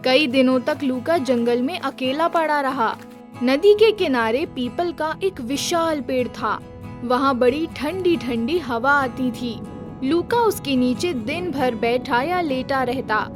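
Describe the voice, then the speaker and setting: A woman's voice: high-pitched, expressive, takes it slowly, over music. A woman narrating a children's story from a script.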